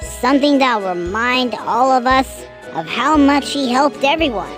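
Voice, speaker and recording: Kindly female locomotive for a children's audio story, woman actor: very high, unhurried, heartfelt and halting. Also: lively intonation, a bit bright. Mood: hopeful, wistful. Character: kindly female tank-engine character, grieving, earnest and caring. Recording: music behind the voice.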